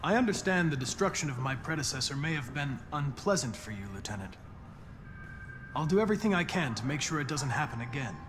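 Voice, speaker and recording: An actor playing a polite, eager android police detective in a video game, speaking in formal, measured phrases. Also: male voice, a little high, animated, long reverb tail, background noise, close to an everyday voice. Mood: polite, friendly. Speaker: police investigator.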